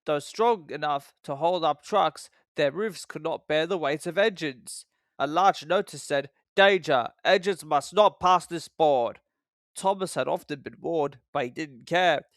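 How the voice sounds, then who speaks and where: A man's voice: a little high, measured pace, no room sound, clean studio sound. A man reading a scripted children's story as the narrator.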